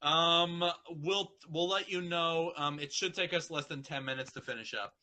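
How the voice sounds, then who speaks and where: A man's voice: fairly high pitch, moderate pace, pushed and bright. A man chatting casually and informally, with some swearing. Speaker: amateur fanfiction reader. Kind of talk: casual chat.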